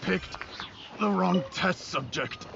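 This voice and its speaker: Game role: menacing fighter, very high gravelly voice, slow battle barks, noisy capture. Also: male voice, lively intonation, lo-fi sound, full character voice. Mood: fierce. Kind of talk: video game battle lines.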